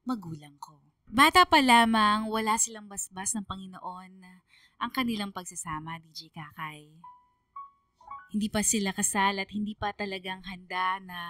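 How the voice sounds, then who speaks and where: A woman's voice: mid-range voice, no echo. A woman giving scripted, dramatic narration of a story for radio. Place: dry studio. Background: soft music.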